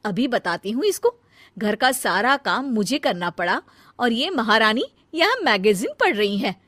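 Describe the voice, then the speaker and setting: A woman's voice: fairly high pitch, sing-song, measured pace, fairly bright tone, no echo, full character voice. A woman doing an earnest, dutiful daughter-in-law. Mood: scolding. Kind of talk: cartoon voice acting.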